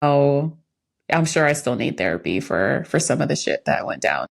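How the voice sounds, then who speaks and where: An American woman with a low-pitched voice, chatting as a podcast co-host. She speaks candidly and reflectively, in an informal conversational style. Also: sing-song, moderate pace, gravelly. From United States, lived in New York when young. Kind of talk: casual chat.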